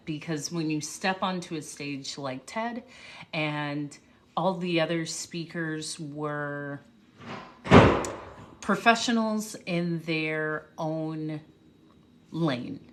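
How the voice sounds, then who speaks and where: Slow-talking woman on a livestream. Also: low-pitched, bright, forceful tone. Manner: unhurried, reflective, talks with pauses and restarts. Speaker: livestream host, motivational speaker. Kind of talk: casual livestream chat. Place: home livestream. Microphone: phone or webcam mic.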